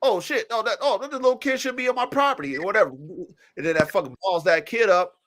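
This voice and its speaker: Stuffed up dude on a live podcast, kinda high voice, swears a lot, acts stuff out. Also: male voice, brisk. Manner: animated, profane, acts out dogs and owners in quoted voices. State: congested from allergies. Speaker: podcast host. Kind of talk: casual chat. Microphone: live stream mic.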